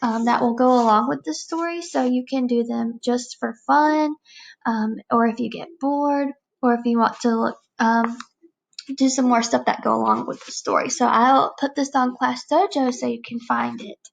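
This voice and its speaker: Female elementary teacher, fairly high voice with noticeable vocal fry, explaining simply to young kids. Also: fairly even intonation, normal speed, rough recording. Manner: simple, explanatory phrasing aimed at children. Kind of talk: read-aloud lesson for young children.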